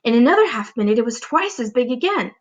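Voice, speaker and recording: High-voiced woman reading a children's story. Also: very animated, quick pace, dull audio. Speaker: teacher reading aloud.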